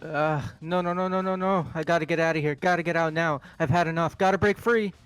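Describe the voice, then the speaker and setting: A man's voice: on the high side, steady tone, a little fast, fairly bright tone, smooth, faint music behind, voice pushed down, natural voice. A man voicing a panicky, flustered young male game character who stammers and repeats himself. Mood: panicked. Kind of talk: game character voice acting in a playthrough.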